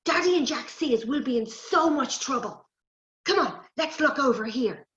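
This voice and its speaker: A woman voicing an excitable, naughty little boy in a children's story, squealing with glee. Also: sits high, normal speed. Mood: hushed urgent. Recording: roomy, slightly dull recording.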